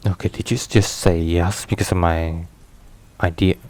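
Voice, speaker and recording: A man playing a brooding but tender mafia boss boyfriend in an intimate ASMR roleplay, murmuring haltingly with hushed pauses. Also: low voice, steady tone, close to an everyday voice. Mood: stunned, unsure. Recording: rain and thunder behind voice. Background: rain and thunderstorm ambience.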